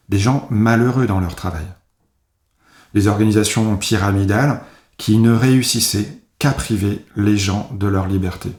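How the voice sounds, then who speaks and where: Low-voiced man giving a measured talk. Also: a little mellow, roomy sound. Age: 40s. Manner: measured, reflective storytelling. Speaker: conference speaker, agile coach. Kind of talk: conference talk. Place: home office, video call.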